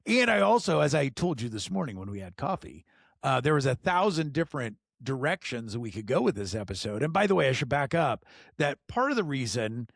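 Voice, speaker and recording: A middle-aged man hosting a podcast in casual chat, enthusiastic, laughing often and filling pauses with lots of ums and uhs. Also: medium pitch, creaky, dry recording, studio quality. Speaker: podcast host.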